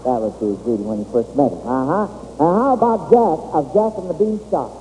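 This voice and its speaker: An American man hosting an old-time radio children's quiz in a folksy, halting, encouraging way. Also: on the high side, animated, mellow. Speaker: quizmaster of a children's quiz show. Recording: old radio, muffled, hissy, reverberant hall.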